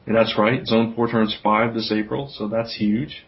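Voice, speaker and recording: A man's voice: lowish voice, level tone, normal speed. A man hosting a podcast in casual chat, talking in an informal, rambling way. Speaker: podcast host. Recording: dull, lo-fi podcast audio.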